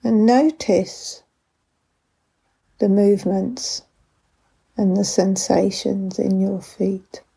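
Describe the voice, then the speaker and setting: A woman guides a meditation at an extremely slow pace, leaving long pauses between gentle instructions. Her voice is soft and breathy, with a mellow tone and plenty of creak at the edges. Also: mid-range voice, steady tone. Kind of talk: guided body scan meditation.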